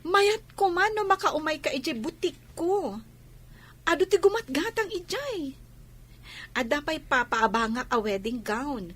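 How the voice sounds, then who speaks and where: A female actor as a persuasive small-town shopkeeper with a very high voice. She coaxes and pleads in the style of a village radio comedy. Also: big pitch swings, a little slow, fairly bright tone, heavily acted. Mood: regretful coaxing. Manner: coaxing, pleading. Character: persuasive, coaxing female cousin who runs a town boutique.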